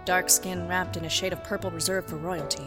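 An actress playing a private detective who narrates in a deadpan film noir style. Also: female voice, lowish voice, a little fast, over music, lightly acted. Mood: wry. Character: hard-boiled private eye narrator, wry and dry.

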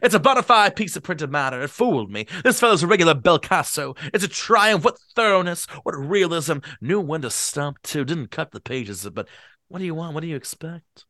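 A man voicing a stout, drunk middle-aged man who talks loudly and excitedly and repeats himself, while reading a novel aloud. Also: on the high side, brisk, no room sound, clean studio sound, pitched above the performer's own voice. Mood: excited, triumphant.

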